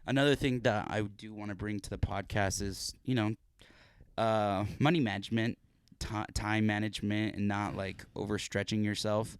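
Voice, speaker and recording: A man's voice: on the low side, fairly even intonation, normal speed, clear, resonant tone, no room sound. A young man hosting a casual podcast, talking earnestly and rambling with restarts and fillers into a podcast mic. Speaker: podcast host.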